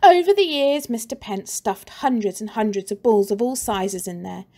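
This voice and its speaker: A woman teacher reading a children's story aloud in a slow, expressive and playful way. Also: mid-range voice.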